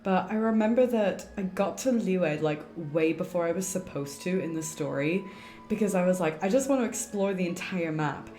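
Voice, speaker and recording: A woman's voice: lowish voice, normal speed, warm tone. A woman livestreaming a game, chatting casually as she plays. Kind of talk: casual chat while playing. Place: indoor room, livestream setup. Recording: roomy streamer mic with game music.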